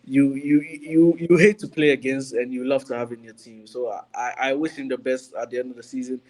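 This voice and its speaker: A man's voice: medium pitch, steady tone, brisk, darkish tone, poor audio. A man giving his views as a panelist on a football podcast, in conversational punditry. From Ghana.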